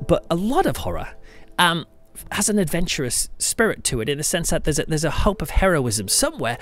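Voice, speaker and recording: Male podcast host, very lively intonation, emphatic and reflective, conversational monologue with light music underneath. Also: on the high side. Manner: animated, emphatic, reflective storytelling delivery. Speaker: podcast host and church pastor.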